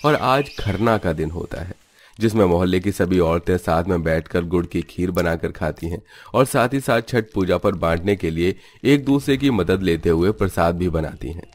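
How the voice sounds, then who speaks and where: A man's voice: low-pitched, animated, normal speed. A man narrating a scripted cartoon story in a dramatic storybook manner.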